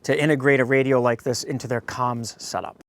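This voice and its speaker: A man's voice: mid-range voice, fairly even intonation, fairly quick, dry recording. A man presenting an informal product review, addressing viewers directly in a folksy way as a host. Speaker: host of a radio gear review channel.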